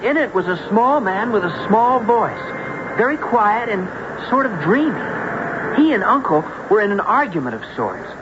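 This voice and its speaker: A man's voice: high voice, big pitch swings, moderate pace. An actor playing an earnest, naive boy new to life at sea, in old-time radio drama style. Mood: recounting. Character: Harvey, earnest, green rich boy lost at sea. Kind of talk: old-time radio drama acting with first-person narration. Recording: muffled, noisy vintage radio recording.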